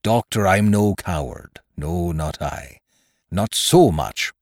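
A man reading aloud and voicing a pleading old male pirate in rough seaman's dialect, with a trembling voice. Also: fairly low pitch, big pitch swings, a little slow, darkish tone, no echo, studio quality, heavily acted. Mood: earnest. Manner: wheedling, trembling, earnest.

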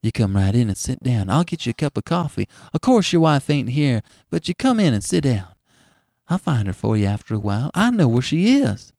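A man's voice: medium pitch, sing-song, a little fast, gravelly, a little mellow, no room sound, clean studio sound. A man voicing a kindly, stout old farm woman with a folksy, motherly rural American manner. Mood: pitying. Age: older.

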